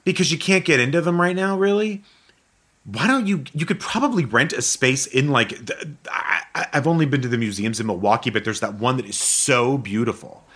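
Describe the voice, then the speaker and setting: A male co-host chats casually and rambles a little. His pitch swings widely and expressively as he talks. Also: lively intonation, fairly quick, fairly bright tone. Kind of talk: casual chat.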